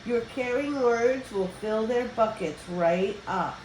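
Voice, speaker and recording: An older woman reading a children's picture book aloud as a storyteller, with expressive, deliberate phrasing for young listeners. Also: fairly high pitch. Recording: roomy echo with background noise.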